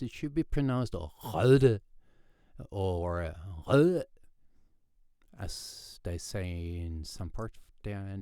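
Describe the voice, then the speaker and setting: A young man with a Swedish accent rambles through a home microphone test, trailing off into ums and restarts. His voice sits low but moves a lot, unhurried and very clean. The sound is dry and close, with no room around it. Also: a little mellow, clear, resonant tone, dry recording. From Sweden. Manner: rambling, many fillers and restarts. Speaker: home studio hobbyist testing microphones. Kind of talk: casual demo narration. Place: home recording setup. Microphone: headset and studio condenser microphone.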